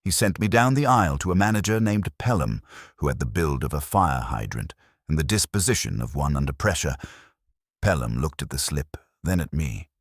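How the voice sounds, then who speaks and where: A man reading a scripted first-person detective story as an audiobook narrator, in a wry, deadpan manner. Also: extremely low pitch, moderate pace, no room sound, clean studio sound.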